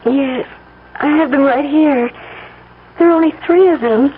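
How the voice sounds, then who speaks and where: Meek, high-voiced young bride, vintage radio. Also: female voice, measured pace. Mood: hesitant. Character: meek, trusting young bride, sweet and hesitant. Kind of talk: old-time radio mystery acting. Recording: tinny vintage radio broadcast, faint noise.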